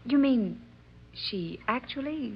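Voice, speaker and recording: An actress playing an eager, wide-eyed and polite newcomer to séances, in an old-time radio drama style. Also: female voice, fairly high pitch, expressive, slow. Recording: muffled, noisy old radio recording.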